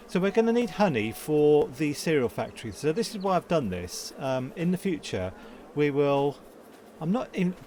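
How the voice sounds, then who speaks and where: Croaky man chatting through a farming game. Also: a little high, a little mellow, a little hiss or hum. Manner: rambling, self-correcting, chuckles to himself. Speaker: gaming channel host. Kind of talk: casual farming-sim gameplay commentary.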